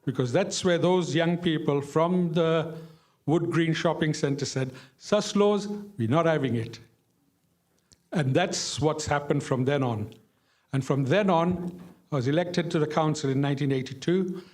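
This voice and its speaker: A man's voice: a little high, a little slow, darkish tone. An older man from Zimbabwe recounting his years as a councillor and activist in an emphatic, plain-spoken way, repeating phrases for effect. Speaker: former local councillor and activist. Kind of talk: impassioned reminiscence to an audience.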